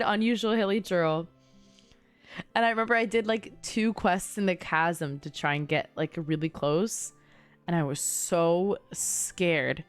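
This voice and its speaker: A woman's voice: medium pitch, smooth. A young woman chatting casually to viewers on a livestream while she plays, slangy and bubbly, drifting into rambling asides. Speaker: streamer playing a game live. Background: game music.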